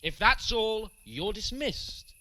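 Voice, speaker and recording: A man's voice: high voice, expressive, takes it slowly, bright, forceful tone, exaggerated voice. An actor playing a spoiled, hot-tempered young nobleman in a video game, with a haughty, mocking, boisterous manner. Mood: haughty contempt. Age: young adult. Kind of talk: video game cutscene acting.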